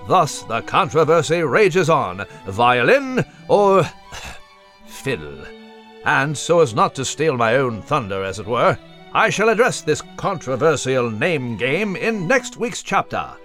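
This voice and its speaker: A man's voice: mid-range voice, big pitch swings, unhurried, a bit bright, faint music behind. A man playing a pompous, fussy, wordy animal newsreader delivering mock news commentary in a theatrical manner. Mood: pompous, mock-solemn. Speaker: news segment presenter.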